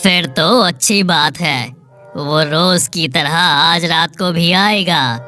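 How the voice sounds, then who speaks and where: A woman's voice: low voice, pushed and bright, full character voice. A woman voicing a dangerous, commanding male leopard king in a cartoon story, imperious and menacing. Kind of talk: Hindi cartoon moral story acting.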